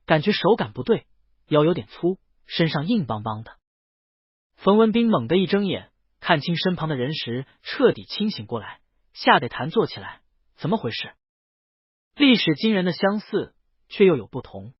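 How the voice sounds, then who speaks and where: A man's voice: fairly high pitch, husky, no room sound, highs cut off. A man narrating a scripted story as an audiobook reader.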